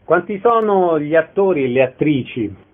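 A man's voice: a little high, animated, normal speed. A man hosting a radio interview, introducing the guest and putting short, concise questions. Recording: narrow phone-like band, faint background noise.

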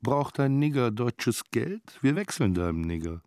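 An actor playing a crude, insinuating street tout who jeers and wheedles in a radio drama. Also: male voice, mid-range voice, very animated, measured pace, a little mellow, no echo, clean studio sound. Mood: sleazy, wheedling. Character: sleazy male street tout, jeering and wheedling.

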